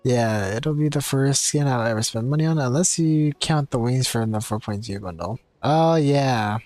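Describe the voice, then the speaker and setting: A young man streaming a game, chatting casually in slangy, halting commentary. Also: mid-range voice, darkish tone, no echo, faint music behind. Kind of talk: casual live gaming commentary.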